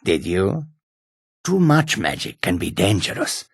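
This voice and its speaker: Sly feline wanderer, husky, low-pitched, whimsical and cryptic, fantasy game dialogue. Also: male voice, sing-song, no echo, clean studio sound, heavily acted. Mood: wry, musing. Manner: speaks of himself in third person, deliberate, clipped phrasing. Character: male Khajiit cat-folk wanderer, whimsical, cryptic, sly. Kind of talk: video game character dialogue.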